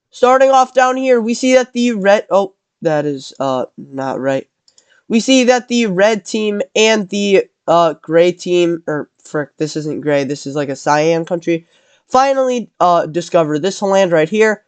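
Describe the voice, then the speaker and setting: A man's voice: high-pitched, expressive, measured pace, clear, resonant tone, dry recording. A man with a cold narrating a map scenario in casual commentary, rambling and correcting himself as he goes. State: has a cold.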